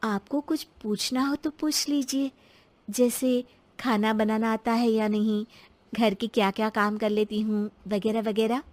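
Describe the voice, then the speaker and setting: A woman's voice: fairly high pitch, steady tone, unhurried, a little mellow, lightly acted. A woman voicing an outspoken young bride in a cartoon story, cheeky and direct in manner. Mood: friendly, shy. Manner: pert, direct.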